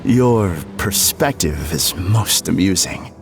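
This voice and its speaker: Reclusive game villain, low voice, slow, formal, courteous, serenely deluded. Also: male voice, expressive, over loud music, heavily acted. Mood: amused, dismissive. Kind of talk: video game character acting.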